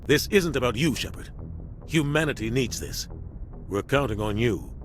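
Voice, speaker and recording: An actor playing a commanding middle-aged starship captain in a video game, with a measured, authoritative military delivery. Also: male voice, medium pitch, expressive, background noise, natural voice. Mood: stern, insistent. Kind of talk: video game dialogue acting.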